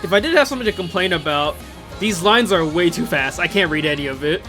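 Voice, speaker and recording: Higher-pitched, animated man commentating. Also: fast. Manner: excitable, exclamatory, conversational asides. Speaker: video host commenting on visual novel openings. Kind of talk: casual enthusiastic video commentary. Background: music playing behind the voice.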